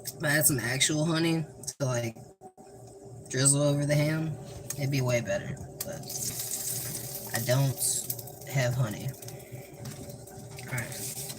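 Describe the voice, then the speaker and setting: A young man with a Southern US accent casually talking through a cooking vlog in a flat, monotone, deadpan way, rambling and joking. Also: medium pitch, a little slow, long pauses. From American South. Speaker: cooking vlogger. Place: home kitchen. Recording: noisy home recording.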